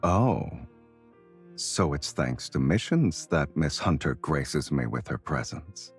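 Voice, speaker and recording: Very deep teasing game rogue. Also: male voice, animated, slow pace, breathy edge, soft background music, full character voice. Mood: amused, teasing. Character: teasing, smooth, worldly male arms and antiques collector. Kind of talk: acted video game dialogue.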